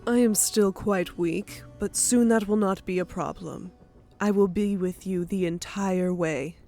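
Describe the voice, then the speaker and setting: A woman's voice: mid-range voice, measured pace, dark tone, faint music behind, lightly acted. A woman playing a proud, grudging warrior goddess with a blunt manner in an audiobook drama. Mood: assured. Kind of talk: audiobook character acting.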